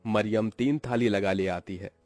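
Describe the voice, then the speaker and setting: A man's voice: lowish voice, steady tone, normal speed, a little mellow, dry recording, soft background music. A man narrating a scripted story for a cartoon.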